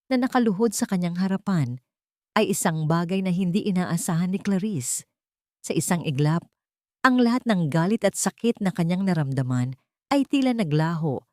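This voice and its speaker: A woman with a Filipino accent narrating a scripted dramatic story in an expressive, sentimental way. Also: lowish voice, dry recording, studio quality. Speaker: audiobook narrator.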